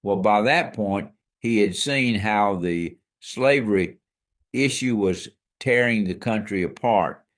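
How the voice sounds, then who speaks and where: A man with a fairly low voice co-hosts a scripted history podcast. He explains each fact with emphasis and leans into the surprising details, as if letting the listener in on a secret. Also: slow. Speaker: co-host.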